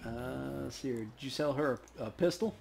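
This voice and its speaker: A man's voice: medium pitch, fast talker, a little mellow, a little hiss or hum. A man playing a video game as co-host, chatting casually in a laid-back, slangy, sometimes profane way. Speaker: let's play player and co-host. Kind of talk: casual gamer chat.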